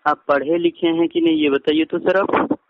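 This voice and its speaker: A man's voice: on the high side, fairly even intonation, normal speed, no echo. A man on a recorded phone call, talking as a customer care agent in an insistent, repetitive, persuasive way and politely addressing the listener as sir. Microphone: phone line.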